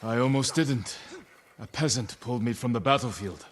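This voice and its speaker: An actor playing a calm, earnest adult samurai in a video game. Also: male voice, medium pitch, moderate pace, a little hiss or hum, natural voice. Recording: game audio with faint ambience.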